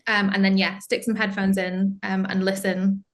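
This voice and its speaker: A young woman talks casually as a podcast guest, chatty and full of fillers, often breaking into laughter. Her voice sits at an ordinary middle pitch. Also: little pitch movement, moderate pace. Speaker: band singer, interview guest. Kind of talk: casual interview chat.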